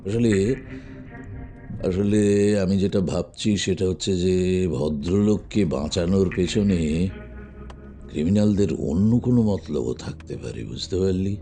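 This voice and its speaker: An actor playing a gruff, commanding middle-aged detective in an audio drama, speaking deliberately with pauses and emphatic repeats. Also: male voice, deep voice, warm tone, faint music behind. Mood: pondering, explaining.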